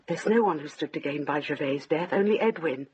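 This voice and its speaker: A low, husky aging widow. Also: female voice, lively intonation, fairly quick, a little mellow, lo-fi sound, full character voice. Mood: anxious. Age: older. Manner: earnest, tender. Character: gentle, anxious aging widow. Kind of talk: radio drama acting.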